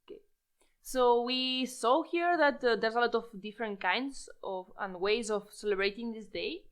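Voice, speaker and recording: A woman hosts a podcast and leads the talk by asking her guests questions. Her voice is a little high. She sometimes pauses briefly as she goes. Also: clean tone. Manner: leads questions, light hesitations. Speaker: podcast host. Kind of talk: podcast hosting.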